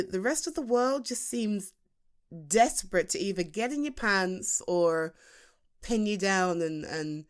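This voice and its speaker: A British woman playing a teasing, self-deprecating best friend in her late thirties in a chatty, halting ASMR roleplay monologue. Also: medium pitch, natural voice. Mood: exasperated, wry. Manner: halting, chatty, with sighs and chuckles. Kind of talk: ASMR roleplay acting, intimate conversational monologue.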